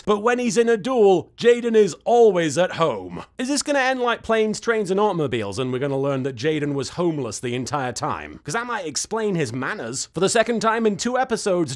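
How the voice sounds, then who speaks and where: A man in his thirties hosting a comedic recap show, with a sarcastic, exasperated and expressive delivery. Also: high voice. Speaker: host of a reaction recap show. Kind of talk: comedic scripted recap commentary to camera.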